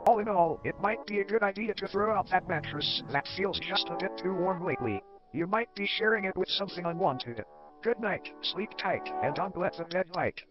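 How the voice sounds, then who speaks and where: Guy with a pretty high voice reading horror documentary narration, muffled audio, music loud behind him. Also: expressive, moderate pace. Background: loud background music.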